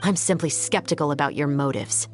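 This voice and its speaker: A woman's voice: low voice, fairly quick, lightly acted. A woman voicing a serious, dutiful police captain in a video game, questioning with formal, restrained firmness. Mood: skeptical. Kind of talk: dramatic video game voice acting. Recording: music behind the voice.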